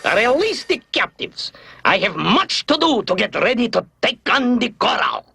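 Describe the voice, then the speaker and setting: An actor playing a pompous, menacing underwater scientist in a 1970s TV cartoon, grandiose and commanding. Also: male voice, extremely high pitch, big pitch swings, normal speed, vocal fry, sharp tone, heavily acted. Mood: gloating menace. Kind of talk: 1970s TV cartoon villain acting.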